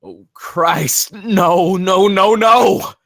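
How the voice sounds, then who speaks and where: A man playing a desperate man cursed to become a werewolf, urgent and gravelly, in a screenplay table read. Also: high voice, extremely slow, a bit bright, no room sound, clean studio sound, exaggerated voice. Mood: panicked. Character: desperate man fighting his transformation into werewolf.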